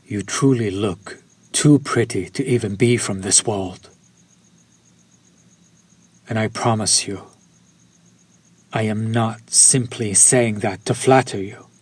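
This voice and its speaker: A man's voice: on the low side, darkish tone, slightly rough. A man playing a courtly, centuries-old vampire in an intimate audio roleplay, speaking to the listener with formal, old-fashioned politeness. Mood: smitten, tender.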